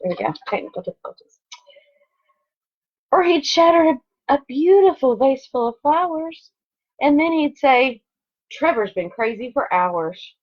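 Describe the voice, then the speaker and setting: A woman's voice: sits high, sing-song, unhurried. Woman teacher reading aloud, dull lo-fi sound. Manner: chatty asides between expressively read story lines. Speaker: second grade teacher. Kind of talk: read-aloud to children online. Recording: dull, lo-fi home recording.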